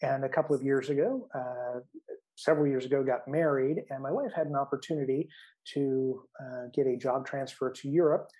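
A man's voice: medium pitch, steady tone, moderate pace, warm tone, rough recording. A middle-aged man from the American Midwest with an American accent, talking casually about himself as a guest author in an interview.

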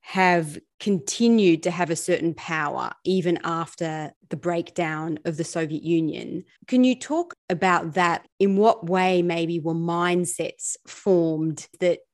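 A woman's voice: on the low side, slow, few pauses. A woman hosting an interview, politely summarizing what the guest said before asking her next question. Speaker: interviewer, host.

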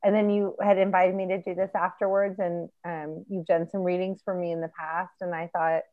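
A woman's voice: on the low side, level tone, a little fast, narrow, tinny audio. A woman in her forties, a panel guest telling a story in a chatty way, laughing along. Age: 40s. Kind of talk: casual panel chat.

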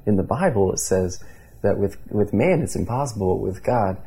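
A man's voice: on the low side, animated, moderate pace, dark tone, breathy edge. A man in his thirties from Indiana, a pastor telling his story in an earnest conversational interview, with many restarts. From Indiana, USA.

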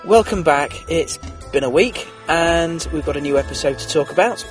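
A man's voice: on the high side, normal speed, soft background music. A middle-aged man hosting a casual solo podcast, chatty and rambling, slightly nasal from a cold. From England. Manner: chatty, rambling, frequent fillers and restarts. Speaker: podcast host. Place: small car-based mobile studio.

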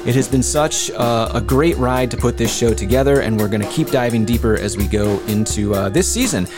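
A man's voice: mid-range voice, a little fast, music in the background. A man hosting a podcast, talking enthusiastically with lots of fillers and restarts. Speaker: podcast host. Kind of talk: casual interview hosting.

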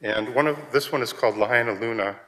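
A man from Hawaii, a congregation musician, speaking softly and haltingly, then singing a song. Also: medium pitch, poor audio. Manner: soft-spoken, hesitant. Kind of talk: spoken intro then singing with ukulele. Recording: loud ukulele accompaniment.

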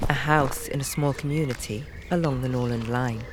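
A woman's voice: extremely low pitch, steady tone, moderate pace, noisy background. A woman reading scripted narration as the narrator of an audio drama. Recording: music behind the voice. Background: plucked guitar music.

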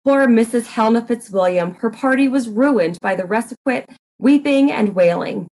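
A woman narrating a comic murder mystery in a deliberate, deadpan dramatic reading. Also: on the high side, poor audio.

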